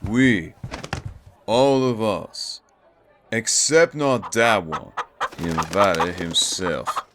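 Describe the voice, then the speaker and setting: A man's voice: fairly low pitch, extremely slow, long pauses, noisy background. A man playing a plain-spoken, easygoing Cajun bayou fellow in an audio drama, with a folksy way of talking. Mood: matter-of-fact. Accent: Louisiana Cajun.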